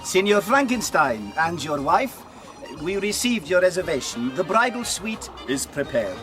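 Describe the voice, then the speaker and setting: High, lilting, obliging hotel host. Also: male voice, very animated, measured pace, over music. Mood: eager, courteous. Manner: effusive, deferential. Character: obliging, courteous male hotel host greeting honeymooners. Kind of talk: dramatised audiobook acting.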